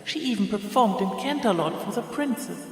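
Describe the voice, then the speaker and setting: A man's voice: sits high, lively intonation, a little fast, very echoey, long reverb tail, voice pushed up. A man voicing a little girl pony, childlike and earnest, in a story reading. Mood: eager pride. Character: eager little filly who adores her mother.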